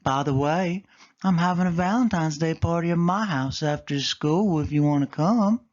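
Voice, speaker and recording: A man's voice: fairly high pitch, normal speed, darkish tone, dull audio, pitched above the performer's own voice, lightly acted. A man voicing a cheerful, friendly young girl cat in a raised voice.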